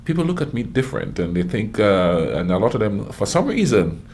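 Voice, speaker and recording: A man's voice: lowish voice, very animated, brisk, a little mellow, slight background noise. A middle-aged man with a Caribbean accent, hosting a reaction video and chatting casually, with plenty of fillers and restarts. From Grenada. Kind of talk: casual chat reacting to clips.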